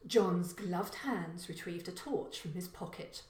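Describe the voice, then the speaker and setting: Female storyteller for crime audiobook: slow, deliberate narration, reverberant room sound. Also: on the low side, a little mellow, far from the mic. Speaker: author reading own story. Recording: echoey room.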